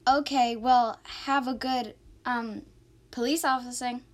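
A woman's voice: fairly high pitch, fairly even intonation, takes it slowly. A woman playing an impulsive, hot-tempered female ex-convict in an amateur radio drama, emotional and exclamatory. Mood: doubtful, awkward.

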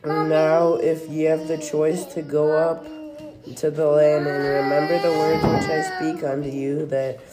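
A young reader reading scripture aloud haltingly, stumbling over words and correcting them. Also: mid-range voice, level tone. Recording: noisy home recording.

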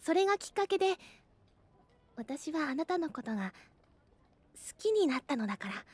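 A woman playing a devoted, protective schoolgirl with shy affection and gentle, reassuring phrasing in a voice drama. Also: sits high, big pitch swings, normal speed. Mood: tender, confessing. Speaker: voice actor. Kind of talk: Japanese anime-like voice drama acting.

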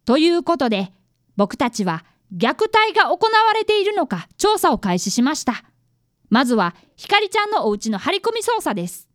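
Woman acting schoolboy apprentice detective, earnest, very high pitch, lively intonation, radio drama narration. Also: normal speed, dry recording, clean studio sound. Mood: earnest narrating. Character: earnest fifth-grade boy, apprentice detective. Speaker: narrator and actor.

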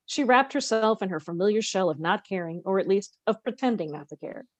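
Woman, medium pitch, expressive read-aloud narration, conversational podcast host, very dry room. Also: brisk, no echo.

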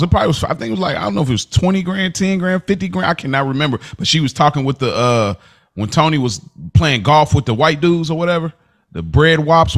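A man co-hosting a casual podcast, rambling and animated as he acts out scenes from the show. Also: fairly high pitch. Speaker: podcast co-host. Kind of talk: casual chat.

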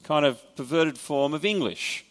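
A man's voice: on the high side, lively intonation, a little slow, big-room sound. A man with an Australian accent preaching a sermon, explaining scripture in a conversational way with wry asides. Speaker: pastor. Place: church hall.

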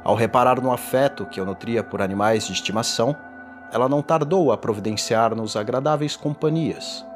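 A man playing a tormented condemned man in a gothic horror audio drama, confessing in a formal, theatrical and deliberate delivery. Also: mid-range voice, close to an everyday voice. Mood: wistful, grave. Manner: theatrical, deliberate, ornate diction. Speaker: narrator. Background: music.

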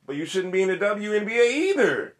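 A man co-hosting a casual chat, brash and joking with crude banter, who calls his voice monotone. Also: high voice, fairly quick, echoey room. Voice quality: monotone delivery. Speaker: podcast co-host.

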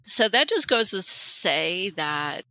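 A woman in her late forties co-hosting a podcast, telling personal stories in an animated, conversational way. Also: on the low side, slow pace, creaky, rough recording. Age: late 40s. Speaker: podcast co-host. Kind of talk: casual personal podcast chat.

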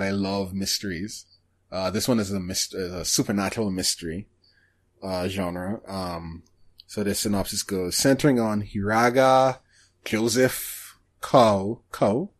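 A man hosting a podcast, chatting casually about shows in a halting, hesitant way. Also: on the low side. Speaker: podcast host.

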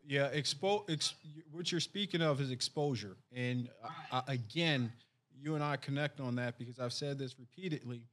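A man, a sheriff, hosting a podcast interview in an earnest, measured way with frequent restarts. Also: mid-range voice.

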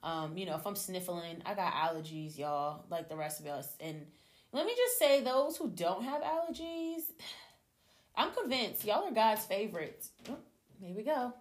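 A young adult woman hosting her own podcast, talking casually and animatedly to her listeners, her voice slightly hoarse from a cold. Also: medium pitch, moderate pace. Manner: chatty, animated, addresses listeners as y'all. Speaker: podcast host. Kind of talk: casual solo podcast chat.

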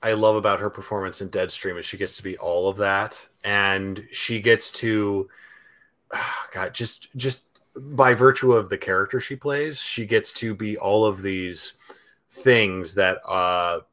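A man's voice: on the low side, fairly even intonation, measured pace, a bit bright. A man co-hosting a casual film chat, speaking hesitantly with many ums and restarts. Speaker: podcast and stream co-host.

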